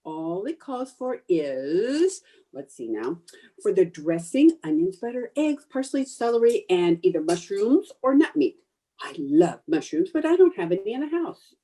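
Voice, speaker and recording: A scatterbrained but stubborn housewife played by an actress in an old radio comedy. The voice is mellow and swings widely in pitch, with cheerful, theatrical emphasis. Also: female voice, big pitch swings, unhurried. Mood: blithely confident.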